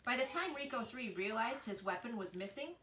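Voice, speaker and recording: Bright-voiced woman reading a story aloud. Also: on the high side, lively intonation, fairly quick. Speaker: reader, storyteller. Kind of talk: expressive children's book read-aloud. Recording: echoey room with faint noise.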